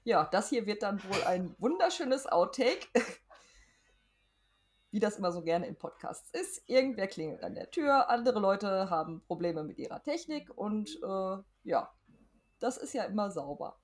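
Chatty woman podcaster, medium pitch. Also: big pitch swings, measured pace. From Germany. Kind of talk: casual podcast chat.

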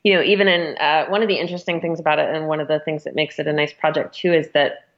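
A woman's voice: sits low, little pitch movement, fast talker. A woman speaking as an interviewed magazine editor, explaining things in an informal, conversational way with some hedging. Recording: dull, muffled lo-fi audio.

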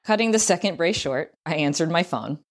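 A woman's voice: on the low side, animated, fairly quick. A woman with a Southern US accent reading a scripted travel essay as narration. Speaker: writer, tour guide and historian reading own essay.

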